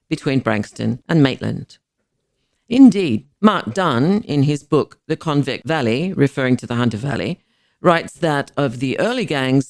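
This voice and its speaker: Male narrator, slightly high voice with vocal fry, deliberate formal delivery of scripted history. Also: darkish tone, no echo, studio quality. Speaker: podcast narrator.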